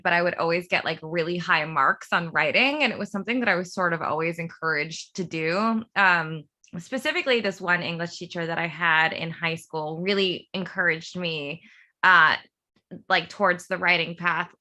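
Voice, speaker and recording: A woman's voice: fairly low pitch, moderate pace, sharp tone. A woman in her thirties from outside Philadelphia, a TV writer telling a meandering story about her start as a guest on an interview show, laughing at herself as she goes. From suburb of Philadelphia, USA. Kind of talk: casual interview chat.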